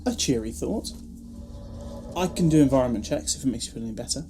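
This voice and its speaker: A man's voice: mid-range voice, expressive, quick pace, dark tone, faint music behind, close to an everyday voice. A man playing a breezy, cheeky alien time-traveller in a fan-made audio drama, teasing and nonchalant.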